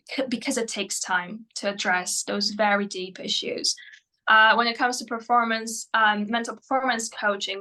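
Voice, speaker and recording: A woman whose first language is Polish, a mental performance coach answering interview questions in English, often pausing with fillers and restarting her sentences. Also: mid-range voice, bright, forceful tone, poor audio. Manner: hesitant, many fillers and restarts.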